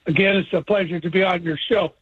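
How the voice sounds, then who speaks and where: A man's voice: high voice, fairly even intonation, fast talker, breathy edge, no room sound. A man calling in to a radio show as an insurance agent, explaining coverage in an earnest, step-by-step way. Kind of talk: phone-in explanation. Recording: phone line.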